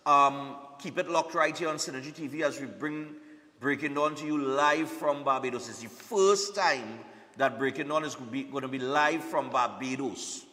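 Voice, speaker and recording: A man's voice: mid-range voice, moderate pace, bright, forceful tone, in a large hall. A man hosting a live morning TV show, chatty and repetitive, often checking in with "all right?".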